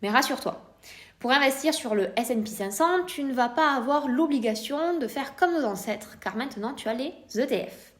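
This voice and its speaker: A woman's voice: high-pitched, lively intonation, normal speed, a bit bright. A woman with a French accent hosting a podcast, explaining in a scripted, didactic way while addressing the listener informally with rhetorical questions. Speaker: podcast host, private investor.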